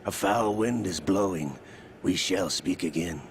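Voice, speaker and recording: A man's voice: low-pitched, measured pace, a little hiss or hum. An actor playing a sly, theatrical villain's henchman with an insinuating, menacing manner in a video game. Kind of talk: dramatic video game cutscene acting.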